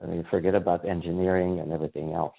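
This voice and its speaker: Gravelly low-voiced man from Yugoslavia. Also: little pitch movement, rapid-fire. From Yugoslavia, in a Hungarian family. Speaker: guest, energy healer. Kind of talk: conversational storytelling in an interview. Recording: thin phone-like sound, faint music.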